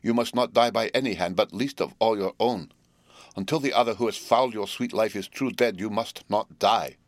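A man voicing an elderly male professor, speaking gravely, slowly and emphatically in a fatherly way. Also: on the low side, dry recording, close to an everyday voice. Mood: fervent urging. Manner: grave, slow and emphatic pleading.

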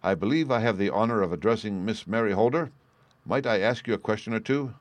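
A shrewd gentleman detective from a classic storybook, as a male reader acts him. He questions with brisk courtesy and assured authority. The sound is dry and free of room echo. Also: fairly low pitch, voice pushed up, close to an everyday voice. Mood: courteous, probing.

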